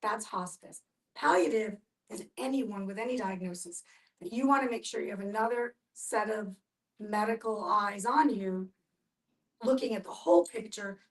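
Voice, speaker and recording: A woman explaining things to a discussion group in a measured, instructive way. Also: mid-range voice. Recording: distant from the microphone.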